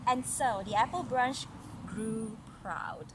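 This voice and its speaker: High-voiced woman reading stories, noisy recording. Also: slow. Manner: explains and asks the child questions. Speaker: mother reading a children's story. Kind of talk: read-aloud storytelling with casual asides to a child.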